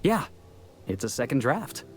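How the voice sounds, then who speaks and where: An actor playing an eager, cocky young American drifter in a video game, talking with earnest, stumbling bravado. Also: male voice, medium pitch, big pitch swings, a little slow, slight background noise. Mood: eager, nervous. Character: eager, cocky young male drifter seeking crew work. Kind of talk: video game character acting.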